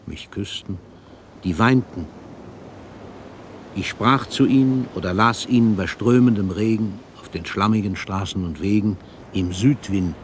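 Actor as a low-voiced older poet reminiscing. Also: male voice, slight background noise, natural voice. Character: older male poet recalling his life, moved and reflective. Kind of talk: literary first-person narration in radio drama.

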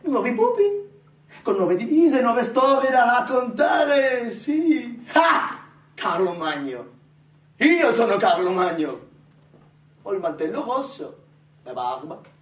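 A man playing a pompous, exalted military leader who fancies himself a great emperor, declaiming grandly and haltingly in a theatrical monologue. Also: very high-pitched, very animated, exaggerated voice. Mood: exalted, grandiose. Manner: grandiose, declamatory, halting, swinging from hush to shouts. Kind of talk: theatrical radio monologue acting. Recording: roomy, distant, noisy theatrical recording.

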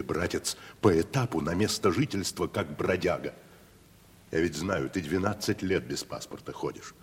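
Very deep, imperious old-school tragedian. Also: male voice, husky, exaggerated voice. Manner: patronising, grandly condescending. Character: imperious, pompous, down-at-heel provincial tragedian posing as gentry. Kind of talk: Russian classical stage comedy, radio play. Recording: reverberant 1970s radio drama recording.

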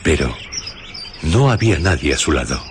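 A man narrating a scripted radio drama in a measured, literary way. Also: rumbling low, big pitch swings, noisy background.

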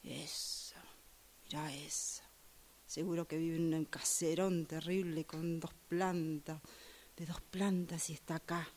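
A woman's voice: low-pitched, level tone, takes it slowly, a little mellow. A woman with a Rioplatense Spanish accent playing a judgmental commuter in a radio drama monologue, musing dramatically as she waits. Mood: muttering, scornful. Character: judgmental woman waiting for a train, brooding over passers-by.

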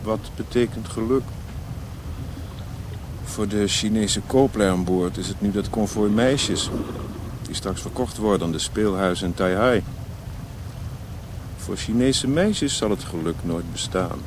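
World-wise, withdrawn gentleman, man acting, for radio play: lower register, reflective and cautionary, light background noise. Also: unhurried, pauses often, natural voice. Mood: sombre warning. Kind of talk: Dutch radio drama acting. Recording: noisy old radio play recording.

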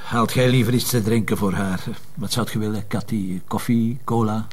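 An actor playing a gruff, impatient, sarcastic but considerate Flemish police commissioner in a naturalistic TV drama. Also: male voice, fairly low pitch, fairly even intonation, moderate pace, slight background noise, close to an everyday voice. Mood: patient, weary.

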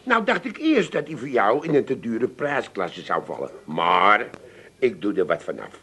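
Slick used-car salesman, wildly animated. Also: lowish voice, breathy edge, full character voice. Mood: smooth selling. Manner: fast-talking sales patter, brazenly glib. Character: slick, pushy male used-car salesman, shamelessly upbeat. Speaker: actor in radio play. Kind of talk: 1980s comic radio play acting.

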